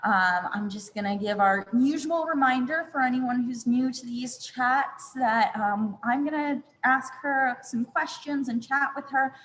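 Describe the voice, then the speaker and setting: A woman's voice: a little high, a bit bright. Woman, web chat host, video call, chatty, friendly, fillers, long reverb, faint background noise. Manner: friendly, chatty, with frequent ums.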